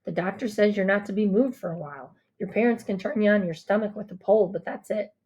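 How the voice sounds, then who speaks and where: A woman's voice: mid-range voice, fast talker, a little mellow, breathy edge, muffled recording, lightly acted. A woman voicing a friendly, reassuring ambulance man in an audiobook, upbeat and instructing. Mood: firm, reassuring.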